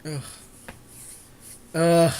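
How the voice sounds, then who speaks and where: A man's voice: on the high side, little pitch movement, extremely slow, dark tone, clean tone. A man co-hosting a podcast, bantering casually and sarcastically while playing a game. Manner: sarcastic, joking. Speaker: podcast co-host. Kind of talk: casual banter over a live card game draft.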